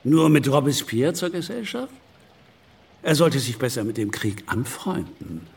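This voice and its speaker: A smooth-talking politician in a radio drama. His medium-pitched voice has some gravel in it, and he needles and persuades, pausing between phrases. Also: sing-song, a little mellow. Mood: needling.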